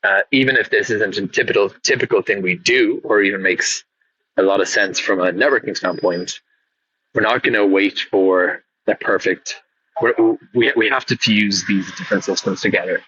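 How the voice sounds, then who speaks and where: A man's voice: lowish voice, moderate pace, bright, forceful tone. A man thinking aloud in a casual, rambling way, often correcting himself as he asks a question. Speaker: podcast listener sending in a question. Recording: muffled, clearly noisy voice recording.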